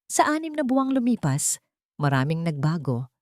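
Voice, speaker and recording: A woman reading a dramatic love story as a narrator, in emotive, measured phrases. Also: on the low side, sing-song, darkish tone, no echo, clean studio sound.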